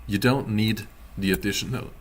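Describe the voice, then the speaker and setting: A man giving a casual live-streamed language lesson as a teacher, explaining word by word in a halting, deliberate way. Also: on the low side, fairly even intonation. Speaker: German teacher.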